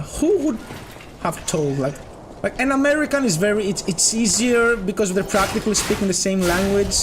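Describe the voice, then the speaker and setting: A man's voice: on the high side, darkish tone. A man with a Greek accent tinged with British, a game developer chatting casually with viewers while playing, rambling and correcting himself often. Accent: Greek, slightly British-tinged. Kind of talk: casual gameplay chat with viewers.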